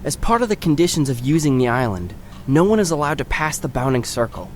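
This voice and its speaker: An actor playing an earnest, assured fantasy guide who explains things in a solemn, formal way in an audio drama. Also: male voice, on the high side, very animated, fast talker, darkish tone, slight background noise. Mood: grave, firm.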